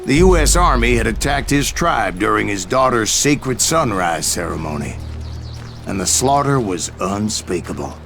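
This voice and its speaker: An actor playing an older, weathered bounty hunter in a Western game, telling his tales in a folksy, wry, measured way. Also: lowish voice, expressive, gravelly. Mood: grave, reflective. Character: older weathered male bounty hunter recounting his Old West exploits. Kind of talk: storytelling narration in a Western game. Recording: music behind the voice.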